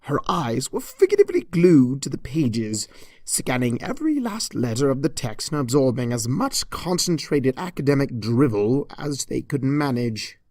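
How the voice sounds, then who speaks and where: An arch, theatrical narrator reads a comedic story with mock pomposity. The pitch swoops widely from phrase to phrase, and the sound is dry, with very little room in it. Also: big pitch swings, normal speed, darkish tone, no echo. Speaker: fan fiction reader.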